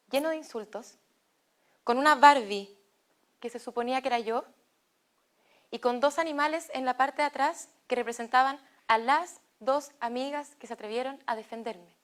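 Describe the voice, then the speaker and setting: A young woman with a Chilean Spanish accent tells a personal story in a fairly high voice. She speaks slowly and deliberately and pauses between phrases. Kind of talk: personal testimony read as narration.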